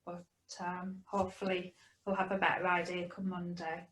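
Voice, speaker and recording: A woman's voice: on the low side, monotone, normal speed, a bit bright. A woman, a mother being interviewed, talking in a casual, understated way in an echoey room. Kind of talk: casual conversational recollection.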